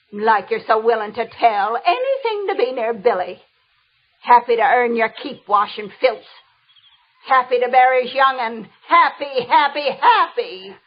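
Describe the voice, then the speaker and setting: High-pitched, scolding, bitter older washerwoman. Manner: scolding, mocking, folksy rustic way of talking. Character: harsh, bitter older washerwoman, bullying and sarcastic. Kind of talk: old-time radio drama acting. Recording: noisy, dull old radio recording.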